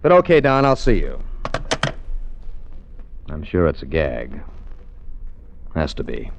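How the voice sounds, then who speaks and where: An actor playing a wry, bantering freelance insurance investigator who also narrates, in a 1950s radio drama. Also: male voice, extremely low pitch, wildly swooping pitch, takes it slowly, gravelly, slight background noise, full character voice. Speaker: narrator and lead. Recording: dull old radio broadcast.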